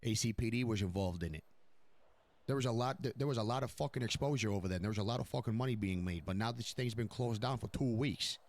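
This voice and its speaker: A man's voice: lowish voice, quick pace, lightly acted. A man playing a stern, blunt, profane mafia boss in an improvised online game roleplay. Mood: firm, businesslike. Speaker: streamer role-playing a crime family boss.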